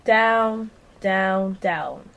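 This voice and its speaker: A woman's voice: medium pitch, drawn-out words, creaky, a bit bright, exaggerated voice. A young female performer playing a reflective author who narrates a storybook tale in an amateur radio play. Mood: storytelling.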